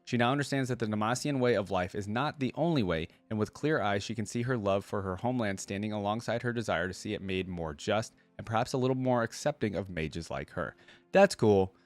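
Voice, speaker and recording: Male reaction host, lower register, reads stories aloud with offhand remarks, very dry sound. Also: fairly quick, no echo, soft background music. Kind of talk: reading lore aloud with casual commentary.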